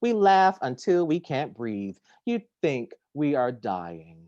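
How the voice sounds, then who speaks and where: A man reading his poems aloud at home over a video call, measured and deliberate, sometimes dropping to a hush. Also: medium pitch, big pitch swings, dry recording, muffled recording. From Detroit, United States. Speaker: poet. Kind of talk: poetry reading. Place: home, at a kitchen table.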